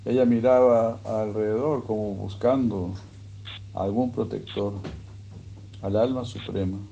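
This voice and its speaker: A man giving a sermon, retelling a scripture story in a slow, measured way. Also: lowish voice, steady tone, gravelly, dark tone, dull audio, slight background noise. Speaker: religious speaker.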